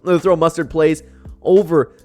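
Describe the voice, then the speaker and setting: A man's voice: a little high, normal speed. A man hosting a gaming tier-list video, chatting casually and animatedly as he ranks people, with music behind him. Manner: animated, chatty, acts out imagined voicemails. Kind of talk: casual ranking commentary with impressions. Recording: background music under the voice.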